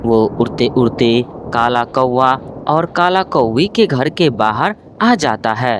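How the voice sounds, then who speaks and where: A man's voice: mid-range voice, animated, takes it slowly, a little hiss or hum. A man narrating a scripted cartoon story.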